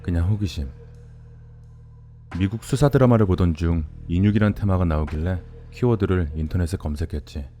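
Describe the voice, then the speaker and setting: A man narrating a horror story in a dramatized first-person style, speaking deliberately and addressing the listener directly. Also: low voice, dark tone.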